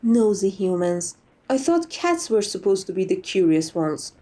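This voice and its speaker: A woman's voice: mid-range voice, very animated, a little slow, dark tone. A woman voicing a chatty, theatrical, centuries-style female vampire who is vain but silly, in an ASMR roleplay monologue. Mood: matter-of-fact storytelling. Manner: playfully dramatic, hammy asides, chatty.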